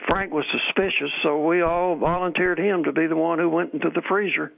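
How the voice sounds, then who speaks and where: Radio play role: man playing rambling old newspaperman, gravelly, folksy, on a tinny phone line. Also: on the high side, fairly even intonation, fairly quick. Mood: genial, rambling. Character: rambling, genial old former newspaper colleague, fond of scotch. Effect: telephone filter. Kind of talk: old-time radio drama acting. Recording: thin, narrow telephone line.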